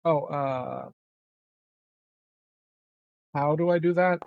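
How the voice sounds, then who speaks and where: A man's voice: on the high side, very slow, creaky, no echo, narrow, tinny audio. A man chatting casually as a player in a tabletop roleplaying game, laid-back and a little hesitant.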